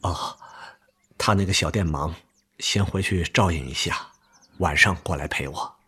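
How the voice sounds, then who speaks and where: Husky, slow, weary sick man. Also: sits low, sharp tone, heavily acted. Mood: grave, resigned. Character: gravely ill older army friend, weary, resigned, earnest. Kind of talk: broadcast radio drama acting.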